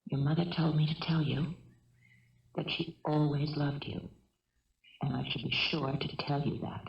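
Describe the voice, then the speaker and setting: An actress playing a gentle, composed middle-aged housewife in a 1970s radio drama, speaking carefully, politely and soothingly. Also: female voice, low-pitched, fairly even intonation, moderate pace, vocal fry, far from the mic. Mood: gentle, reassuring. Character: gentle, composed housewife and mother held hostage. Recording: roomy, muffled old radio broadcast.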